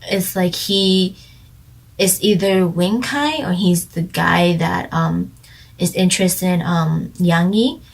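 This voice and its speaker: A woman's voice: fairly low pitch, slow, gravelly, husky, low-quality recording. A woman chatting casually as she reviews a drama, rambling and speculating with lots of fillers. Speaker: drama reviewer, channel co-host.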